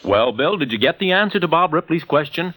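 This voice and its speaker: A man's voice: a little high, animated, brisk, fairly bright tone. A man hosting a 1940s radio show, narrating from a script in a dramatic, emphatic way.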